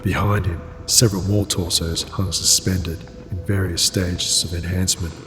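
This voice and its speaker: A man's voice: deep voice, flat delivery, moderate pace, in a large hall. A man delivering cinematic scripted narration for a story. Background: cinematic music.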